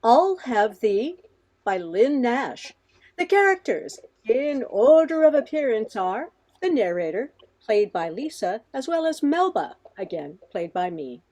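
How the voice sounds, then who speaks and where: A woman's voice: fairly high pitch, sing-song, unhurried, a little mellow. A woman narrating a radio play from a script.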